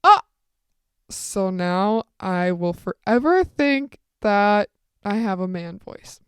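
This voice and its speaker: A teenage woman hosting her own podcast, telling a rambling story with lots of side comments. She describes her own voice as on the deep side. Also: lively intonation, slow pace, clear, resonant tone, no echo, studio quality. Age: teens. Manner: rambling, chatty, full of asides. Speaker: podcast host. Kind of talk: casual solo storytelling.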